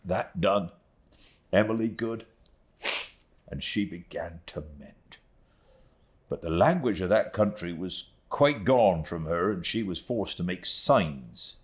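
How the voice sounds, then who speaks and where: Man acting a slow, low-voiced old fisherman. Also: animated. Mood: tender, earnest. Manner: slow, emotional, halting storytelling with swelling and hushed moments. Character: grieving, devoted, rustic old English fisherman recounting his niece's ordeal.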